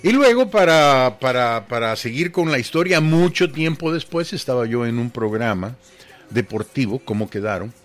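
A man's voice: medium pitch, animated, normal speed. Older man telling stories. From Guatemala. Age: 60s. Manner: rambling anecdotal storyteller, voices quoted people. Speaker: radio DJ guest. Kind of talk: casual radio interview storytelling.

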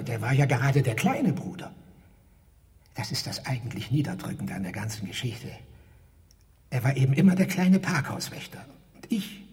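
A man's voice: mid-range voice, darkish tone, lightly acted. Actor as a meek little man in echoing hall. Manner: wordy, formal, pleading persuasion, often dropping to breathy whisper. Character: meek, wheedling parking-garage attendant desperate for a bodyguard. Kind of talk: theatrical radio drama dialogue. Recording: reverberant hall-like space.

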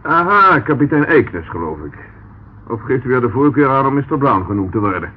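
An actor playing a sardonic, dogged police inspector in a radio drama, mocking and needling with mock politeness. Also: male voice, medium pitch, very animated, a little fast, husky. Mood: taunting. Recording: tinny, noisy old radio play recording.

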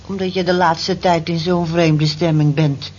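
A woman's voice: lowish voice, measured pace, close to an everyday voice. An actress playing a nosy, teasing female friend who chides and pries expressively in a radio drama. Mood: prying, teasing. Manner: prying, expressive, chiding. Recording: dull radio recording, faint noise.

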